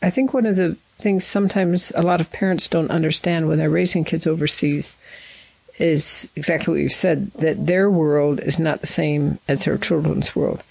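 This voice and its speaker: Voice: mid-range voice, steady tone, normal speed, creaky, a little mellow, slightly rough, dry recording, poor audio. An interviewer who grew up in Nigeria, asking thoughtful, probing questions in a conversation.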